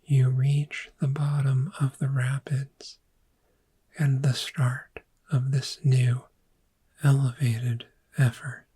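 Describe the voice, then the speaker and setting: A very breathy, whispered voice, a woman acting as a calm dragon therapist in an ASMR roleplay. The delivery is extremely slow and deliberate, with a soft, mellow tone that gently soothes the listener through guided imagery. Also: very deep voice, exaggerated voice.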